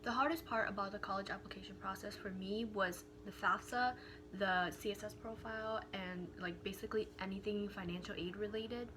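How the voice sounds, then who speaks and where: A woman's voice: mid-range voice, steady tone, brisk, over music, rough recording. A young woman student giving a candid, casual account, with frequent filler words. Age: young adult. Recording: noticeable background noise.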